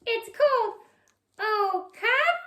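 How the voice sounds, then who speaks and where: Puppet kid for children's show: very high, squeaky, eager and chatty, in an echoey room. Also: animated, takes it slowly, sharp tone, heavily acted. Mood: eager, playful. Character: Oggi, excitable chatty young child-like puppet friend. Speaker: puppet co-host of a children's church story show.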